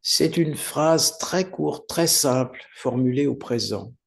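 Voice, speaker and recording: A man teaching guided meditation, giving unhurried, soothing step-by-step instructions with pauses. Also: mid-range voice, vocal fry. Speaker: yoga nidra meditation teacher.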